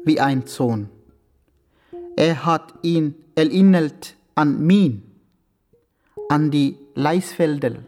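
An actor playing a solemn martial arts master who speaks in clipped, broken proverbs in a German radio crime comedy. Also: male voice, on the high side, extremely slow, long pauses, a little mellow, faint music behind. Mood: stern, solemn. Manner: sententious, clipped broken grammar.

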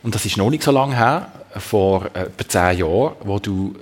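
Animated Swiss German male host. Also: fairly low pitch, moderate pace. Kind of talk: conversational moderation.

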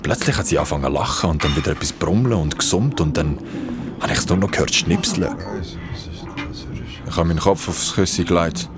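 Deep-voiced young Swiss hiker played by a man. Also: brisk, pauses often, music in the background, lightly acted. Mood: creeped out. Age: young adult. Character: stranded young injured hiker, uneasy and wary. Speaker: narrator and lead character. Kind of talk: first-person radio play narration and dialogue.

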